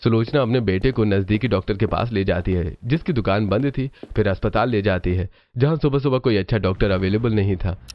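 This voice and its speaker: A man's voice: on the low side, brisk. Male cartoon story narrator, slightly muffled.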